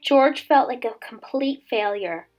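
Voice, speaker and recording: A woman reading a children's story aloud as a teacher would, with dramatic, expressive delivery. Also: on the high side, measured pace. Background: background music.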